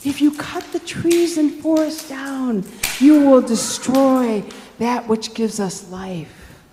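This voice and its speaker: A woman voicing an earnest macaw with deliberate, questioning delivery, in live storytelling. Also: sits high, warm tone. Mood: solemn appeal. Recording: echoey hall, faint background noise.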